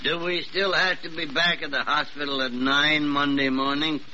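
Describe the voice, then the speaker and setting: An actor playing a wry, good-humoured hospital doctor in an old radio drama, informal and given to mild grumbling. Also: male voice, fairly high pitch, fairly even intonation, normal speed, pushed and bright. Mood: hopeful. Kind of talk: 1940s-50s American radio drama acting. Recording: muffled, noisy old radio recording.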